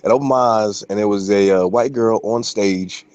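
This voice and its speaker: Low-voiced, laid-back man. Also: moderate pace, a little mellow, no room sound, dull audio. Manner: laid-back, swearing, amused storytelling. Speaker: podcast co-host. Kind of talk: casual chat.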